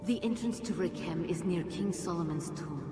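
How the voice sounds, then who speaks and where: An actress playing an ancient, solemn female Jinn in a video game cutscene, speaking in a grave, measured, commanding way. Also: on the low side, lightly acted. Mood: solemn, urging. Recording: loud orchestral game music underneath.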